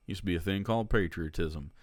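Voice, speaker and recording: Sarcastic, profane male streamer. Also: deep voice. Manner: sarcastic, profane, laughs between remarks. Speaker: streamer and political commentator. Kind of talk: casual reaction commentary.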